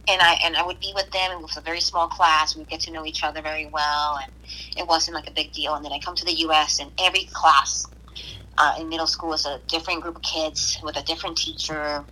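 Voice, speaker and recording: A woman from Puerto Rico chatting casually on a podcast, hesitant, with restarts and soft laughs. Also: deep voice, little pitch movement, brisk, poor audio. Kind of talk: casual conversation.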